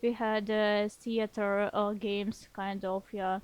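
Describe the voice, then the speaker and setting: A woman guest speaking as a learned language, talking in a hesitant way with many pauses and restarts. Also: medium pitch, little pitch movement, smooth. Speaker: podcast guest. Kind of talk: casual interview talk.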